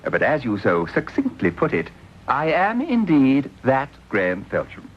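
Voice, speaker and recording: An actor playing a cold, disdainful Victorian gentleman who recounts his crimes in a precise, haughty manner in a radio drama. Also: mid-range voice, wildly swooping pitch, a little slow. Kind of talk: radio drama narration and acting. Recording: dull lo-fi old radio recording with loud hiss.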